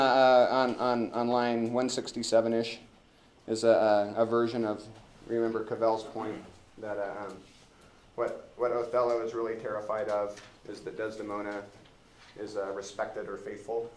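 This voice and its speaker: Halting, wry man lecturing informally. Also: lowish voice, a little hiss or hum, low-quality recording. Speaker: literature teacher.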